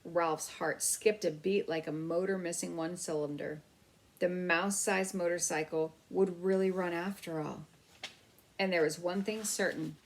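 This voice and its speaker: A woman reading a children's book aloud as an expressive storyteller. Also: lowish voice, a little slow.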